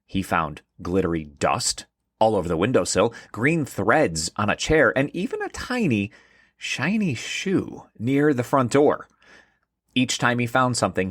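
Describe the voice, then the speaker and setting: A man hosting and narrating a scripted children's story in an expressive storytelling manner. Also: lowish voice, dry recording, clean studio sound. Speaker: host and narrator.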